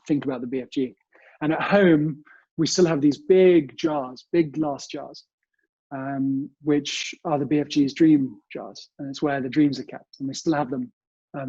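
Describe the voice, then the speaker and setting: A man's voice: medium pitch, warm tone, husky, no room sound, dull audio. A young British man speaking politely as an interview guest, telling family memories in a conversational way. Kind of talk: conversational interview answers, reminiscing. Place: remote recording from home.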